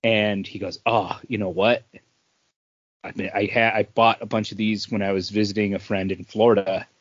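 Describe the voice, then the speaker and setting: A man's voice: on the low side, level tone, moderate pace, no echo, highs cut off. A man hosting a podcast, chatting casually in a rambling way about craft beer. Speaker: podcast host.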